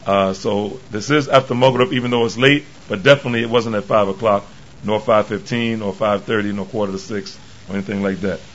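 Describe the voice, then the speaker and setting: A man with a hoarse, tired voice giving a religious lecture as the imam, speaking haltingly with many pauses and restarts. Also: on the low side, fairly even intonation, lo-fi sound. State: tired from travel.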